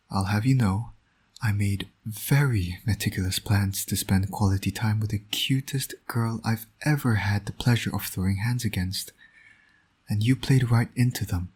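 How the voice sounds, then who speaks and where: A low, husky male voice for a roleplay audio drama , a man acting it out. He is a smug villain who flirts and needles with dry sarcasm, yet shows a fatherly soft side. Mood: flirtatious, smug. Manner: smug, teasing, sardonic. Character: teasing, flirtatious male supervillain, doting secret father.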